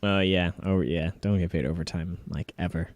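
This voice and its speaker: Low-voiced man reacting casually, dry close sound. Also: fairly even intonation, normal speed, no room sound. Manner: laughs readily while reminiscing. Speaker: vlogger reacting to own old videos.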